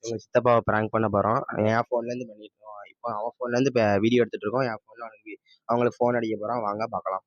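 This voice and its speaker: A man speaks quickly in a casual, chatty way. His mid-pitched voice sounds dull and lo-fi, with very little room sound around it. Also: little pitch movement, no room sound. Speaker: prank caller. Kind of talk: casual vlog and phone chat. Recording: dull, lo-fi phone-video sound.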